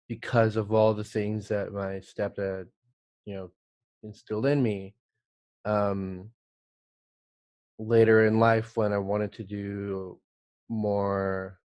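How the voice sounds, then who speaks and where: Slow, low-voiced man from El Salvador. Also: a little mellow, clear, resonant tone, dry recording. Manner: halting, thoughtful, many pauses and restarts. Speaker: guest, art director. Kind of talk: casual podcast interview.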